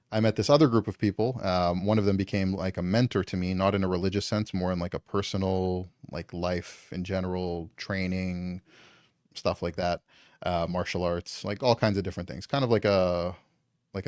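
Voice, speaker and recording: A man's voice: deep voice, a little mellow, no room sound, studio quality. A man from Canada, a guest in casual conversation, talking loosely with lots of ums and likes.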